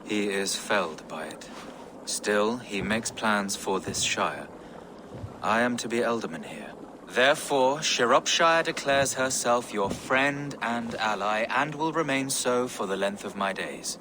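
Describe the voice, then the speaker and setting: A male actor as a dignified medieval bishop, earnest and dutiful. The voice is on the low side, with formal, deliberate game-drama delivery. Noisy ambience is clear behind the words. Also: lightly acted. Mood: solemn, dutiful. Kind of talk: dramatic video game acting.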